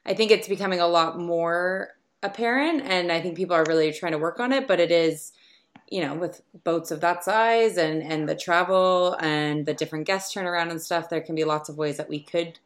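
A woman's voice: on the low side, normal speed. Upbeat woman podcast host. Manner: upbeat, chatty, with frequent ums. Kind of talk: podcast interview.

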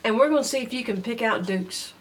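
A woman's voice: fairly high pitch, brisk. A woman co-hosting a garden radio show, speaking in brief, matter-of-fact casual chat. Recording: distant mic.

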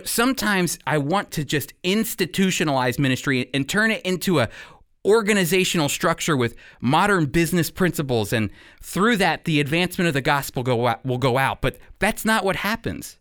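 Guy preaching conversationally, earnest, pitch swings around a lot, slightly high voice, really dry sound. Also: fairly bright tone, no echo. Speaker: radio Bible teacher and preacher. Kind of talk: conversational sermon.

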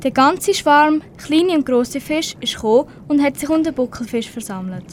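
A woman's voice: high-pitched, expressive, moderate pace, a little hiss or hum. A teenage girl reading scripted story narration for an amateur radio play.

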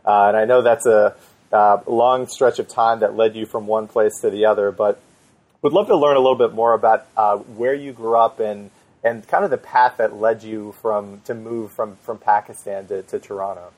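A man's voice: on the low side, fairly even intonation, normal speed, darkish tone, poor audio. A man hosting an interview show, asking questions politely with many restarts and repeated words. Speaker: podcast host.

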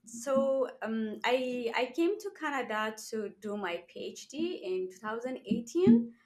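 A woman from Ethiopia, a doctoral student guest, speaking earnestly and emphatically. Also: mid-range voice. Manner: earnest, emphatic, with small laughs. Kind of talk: conversational interview.

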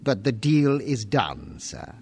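The voice is animated and medium in pitch, a young businessman. He is formal, ambitious and insinuating, pressing his case in a radio drama. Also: takes it slowly, a little hiss or hum. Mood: calculating, persuasive. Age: young adult. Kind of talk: family radio drama acting.

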